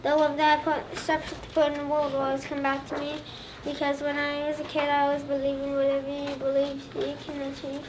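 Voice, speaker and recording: High, flat child voice, distant. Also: fairly quick. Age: child, about 8 or 9. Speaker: third grade student presenter. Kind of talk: recited school presentation as a historical figure. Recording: distant mic, loud room noise.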